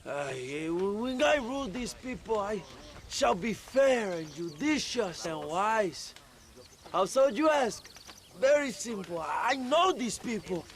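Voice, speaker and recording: An actor playing a vain, charismatic Mexican revolutionary leader with a pompous, theatrical manner in a video game cutscene. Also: male voice, high-pitched, big pitch swings, slow, full character voice.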